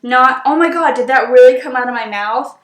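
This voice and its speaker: A young woman in her twenties chats through a story time while doing her makeup, her voice sitting a little high. She sounds emphatic and exasperated, and the room around her rings with a noticeable echo. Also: fairly quick. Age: 20s. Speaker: beauty vlogger. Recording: echoey room.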